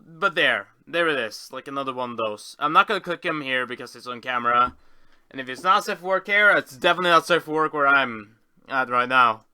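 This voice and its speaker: Bright, clear-voiced man rambling casually. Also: medium pitch, dry recording, clean studio sound. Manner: rambling, halting, self-correcting. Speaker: video host. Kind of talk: casual screen-tour commentary.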